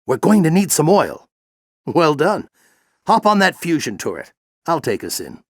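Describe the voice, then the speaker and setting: An aged alien general from a video game, with a raised, creaky voice. He coaches in a theatrical, fervent way, swinging widely in pitch. The recording is tight and dry. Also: wildly swooping pitch, a little slow, no echo, exaggerated voice. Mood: focused, resolute. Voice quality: gravelly, theatrical. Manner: grand, exhorting, coaching. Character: aged Lombax general, furry alien mentor, fervent and encouraging. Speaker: mentor and military veteran. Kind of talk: video game character acting.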